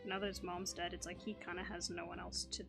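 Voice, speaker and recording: A woman's voice: on the low side, little pitch movement, fast, creaky, a bit bright, faint music behind. A woman hosting a gaming video, giving casual, offhand commentary and reactions as she plays. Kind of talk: casual game commentary and reading along.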